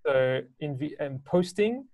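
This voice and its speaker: Guy with french accent chatting on a video call interview, kinda high voice, dry sound, stop-start talker. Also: expressive, a little slow, darkish tone, no echo. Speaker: guest, business owner and educator. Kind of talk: casual interview, explaining. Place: small indoor room, video call.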